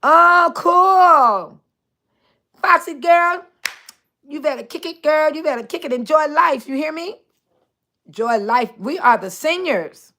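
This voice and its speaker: A woman's voice: sits high, lively intonation, slow pace, long pauses, pushed and bright. Older woman host, 60s, casual livestream banter, frequent laughter, talks straight to her audience. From Chicago area. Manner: laughing often, chatty, talking directly to viewers by name. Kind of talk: casual livestream chat reading viewer comments.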